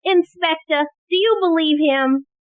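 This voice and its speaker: A woman's voice: very high-pitched, unhurried, no room sound, narrow, tinny audio. A woman playing an earnest, inquisitive and frank police assistant in an audio play. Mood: questioning.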